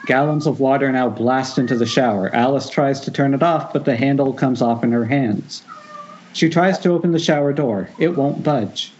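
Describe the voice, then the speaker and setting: A man's voice: medium pitch, moderate pace, a little mellow. A man reading screenplay directions aloud at an informal table read over an internet call, sometimes stumbling and restarting. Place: remote group Skype call. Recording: dull, noisy internet call.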